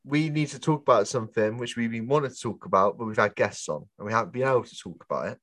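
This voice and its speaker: Chatty co-host with a chesty cough. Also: male voice, mid-range voice, a bit bright, dry recording. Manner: chatty, laid-back, quick to laugh. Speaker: podcast co-host. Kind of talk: casual chat.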